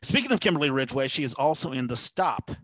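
A man hosting a casual podcast, talking in a conversational, halting way. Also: mid-range voice, animated, fairly bright tone. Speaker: podcast host.

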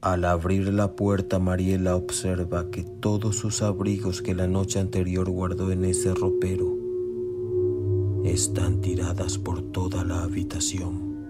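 A man's voice: deep voice, completely flat delivery, unhurried. A man giving solemn scripted narration for a radio drama. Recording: music behind.

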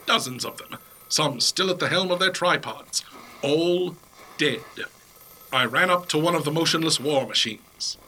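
A man's voice: on the high side, a little slow, pushed and bright, poor audio. A man playing a pompous, impatient scientist professor in a comic 1930s-style radio play, delivered melodramatically and dismissively. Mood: grave, dramatic. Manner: melodramatic narration turning dismissive. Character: pompous, impatient male scientist professor recounting a Martian invasion. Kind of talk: 1930s radio play acting, comedy spoof.

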